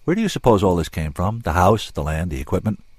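A man acting an angry, driven inventor, animated swings. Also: low-pitched, quick pace, slightly rough, no echo, heavily acted. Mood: explaining. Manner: emphatic, impassioned, barking. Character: angry, driven male inventor, blunt and defiant.

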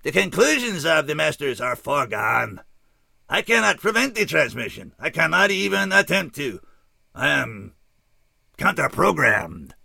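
A man reading a story lends his voice to a gaunt alien scout who carries a terrible duty. The voice sits far higher than a plain reading, slow and measured, with an odd foreign lilt. Gravelly edges and a bright, pointed tone keep him tense. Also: sing-song, dry recording, studio quality, exaggerated voice. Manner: slow, formal, oddly accented speech. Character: towering gaunt male alien scout, weary and tormented.

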